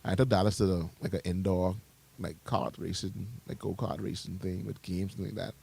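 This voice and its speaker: A man's voice: deep voice, steady tone, moderate pace, vocal fry, dark tone, husky. A man in his thirties co-hosting a morning radio show in casual banter. He laughs a lot and stumbles over repeated words. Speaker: radio co-host.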